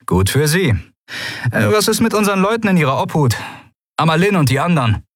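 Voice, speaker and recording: A man's voice: sits high, big pitch swings, fairly quick, no room sound, clean studio sound, voice pushed up. A man doing a cocky young pirate. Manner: smug, breezy, teasing.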